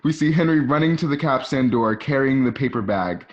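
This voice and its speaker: A man's voice: mid-range voice, animated, a little fast, dull audio. A man reading scripted scene directions as the narrator of a radio play.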